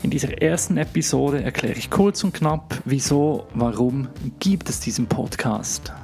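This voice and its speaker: A man's voice: mid-range voice, animated, a little slow, creaky, darkish tone, faint music behind. A man in his thirties from Switzerland with a Swiss accent, hosting his own podcast in an earnest, reflective way, thinking aloud with the odd filler. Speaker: podcast host. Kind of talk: solo podcast monologue.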